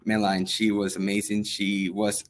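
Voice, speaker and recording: Earnest, hesitant young man, low voice. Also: little pitch movement, unhurried. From El Salvador. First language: Spanish. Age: young adult. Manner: hesitant, many ums, earnest. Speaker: high school history teacher. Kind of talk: interview answers.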